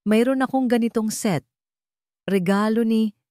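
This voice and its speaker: A woman voicing a troubled rich young man in an audio drama, earnest and halting as he confesses an old fear. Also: on the high side, warm tone, no echo, studio quality, voice pushed up, close to an everyday voice. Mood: puzzled.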